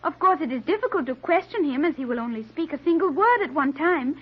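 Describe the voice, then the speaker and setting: A woman's voice: sits high, animated, fast. An actress playing an earnest, solemn girl with formal, precise diction in an old-time radio serial. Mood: composed, precise. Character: earnest, solemn girl raised apart from the world. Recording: muffled vintage radio recording.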